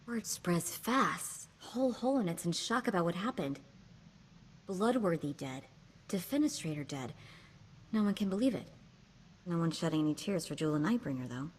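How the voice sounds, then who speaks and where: A woman's voice: fairly low pitch, animated, moderate pace, slight background noise, lightly acted. A woman voicing a plucky, street-smart young slicer in a video game, wry and breezy, with clipped slangy talk. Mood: intrigued.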